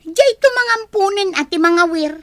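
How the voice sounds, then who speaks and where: A woman's voice: extremely high pitch, expressive, moderate pace, a bit bright, slight background noise. A woman playing a defiant young single mother in an audio drama, plaintive and argumentative. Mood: desperate. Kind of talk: Ilocano audio drama acting.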